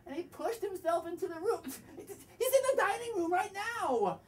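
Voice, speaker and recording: Very shrill, flustered maid played by an actress. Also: female voice, expressive, moderate pace. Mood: alarmed, frantic. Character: flustered, excitable household servant announcing a visitor. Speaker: student actor. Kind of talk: stage play acting, theatrical. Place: small theater stage, far camera. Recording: distant stage recording.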